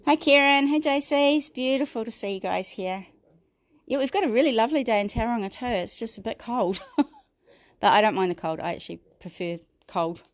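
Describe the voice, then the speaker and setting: New Zealand woman, middle-aged, croaky gravelly voice, chatty step-by-step craft instructions, dry close sound. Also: a little high, fairly quick, no room sound. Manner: chatty step-by-step instructions, greets viewers by name. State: croaky, clearing her throat. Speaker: craft tutorial host. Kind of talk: livestream crafting tutorial.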